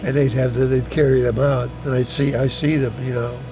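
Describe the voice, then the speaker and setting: A man in his eighties from Hungary, a native German speaker, recalls his life in a halting, repetitive, plain-spoken way, as in an oral history interview. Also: mid-range voice, level tone. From Hungary, German-speaking household. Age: 80s. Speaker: retired teacher recounting his life. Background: music under the voice.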